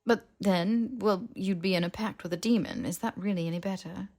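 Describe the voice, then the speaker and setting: A woman voicing a brisk, bookish, sceptical young woman in an audiobook, explaining and questioning with precision. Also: medium pitch, a little mellow, natural voice. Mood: thoughtful, explaining. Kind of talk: audiobook character dialogue.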